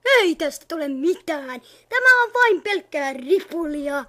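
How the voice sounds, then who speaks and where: Woman doing a really high bright little cartoon girl, mocking and blunt, fandub style. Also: sing-song. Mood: frustrated. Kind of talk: amateur Finnish cartoon fandub.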